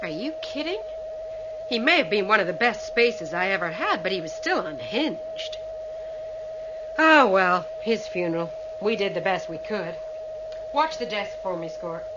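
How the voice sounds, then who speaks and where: A woman's voice: medium pitch, big pitch swings, unhurried, highs cut off, noisy background. An actress playing a brusque, sardonic female starship captain in a radio drama, dry and commanding. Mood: dry, dismissive. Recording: old radio drama with loud music.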